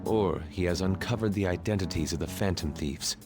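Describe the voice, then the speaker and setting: An actor playing a serious, analytical male ally in a game, reasoning in a grave, deliberate way. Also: low voice, music in the background. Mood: wary, analytical. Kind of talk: English game voice acting.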